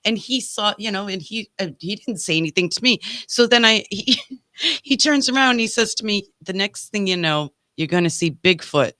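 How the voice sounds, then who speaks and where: Bright, forceful New England woman. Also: mid-range voice, animated, moderate pace, no room sound. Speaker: podcast co-host. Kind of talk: casual chat.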